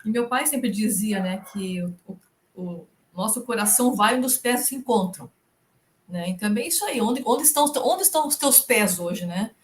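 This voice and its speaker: A woman's voice: mid-range voice, expressive, moderate pace. A middle-aged woman from southern Brazil, a professor chatting as an interview guest, tagging her sentences with "né?". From Rio Negrinho, southern Brazil. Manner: warm storytelling, frequent "né?" tags. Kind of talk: casual interview chat.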